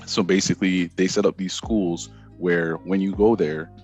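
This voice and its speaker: A man's voice: sits low, moderate pace, soft background music. A man in casual podcast chat, eagerly taking the lead to explain his point. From Caribbean. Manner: animated, takes the lead in explaining. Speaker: podcast co-host.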